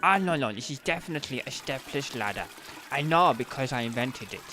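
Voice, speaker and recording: A man's voice: medium pitch, animated, a little fast, pushed and bright, a little hiss or hum. A man playing an earnest, pompous inventor professor in an audio comedy, speaking emphatically and insistently repeating himself. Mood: insistent, proud. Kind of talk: mock interview in audio comedy sketch.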